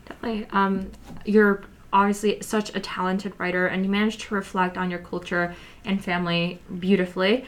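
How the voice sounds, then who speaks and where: Teen girl hosting school podcast, friendly, asks questions kinda evenly, mid pitch. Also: flat delivery. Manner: friendly, warm praise, measured questions. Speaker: student podcast host. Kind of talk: interview questions, partly read.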